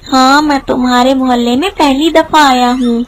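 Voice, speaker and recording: A woman's voice: high-pitched, steady tone, moderate pace, slight background noise, lightly acted. A woman voicing a naive, good-natured young male vegetable hawker who talks earnestly and simply in a cartoon story. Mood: agreeable.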